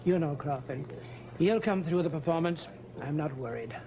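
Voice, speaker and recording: An actor playing an unworried, dismissive theatre colleague, matter-of-fact, in a mid-century suspense drama on a noisy broadcast. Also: male voice, on the high side, lively intonation, moderate pace, dark tone.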